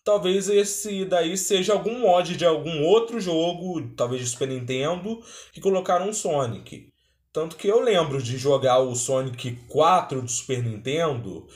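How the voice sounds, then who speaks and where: A man's voice: fairly high pitch, a little slow. A man with a Brazilian accent giving casual, conversational reaction commentary as the host of a video. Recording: roomy, echoey sound.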